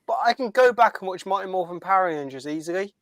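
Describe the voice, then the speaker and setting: Male podcast co-host, British, high-pitched voice, dry close sound, chatty. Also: animated, fast, a bit bright, no echo. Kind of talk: casual chat.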